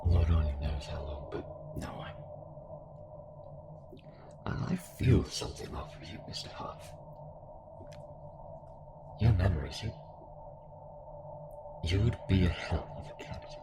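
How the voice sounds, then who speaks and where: A man acting a deep-voiced, sinister cult fanatic. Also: lively intonation, gravelly, heavily acted. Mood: earnest, unsettling. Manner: taunting, measured, monologuing. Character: sinister, calm cult fanatic merged with a devouring entity. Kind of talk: dramatic audio roleplay acting. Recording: music under the voice.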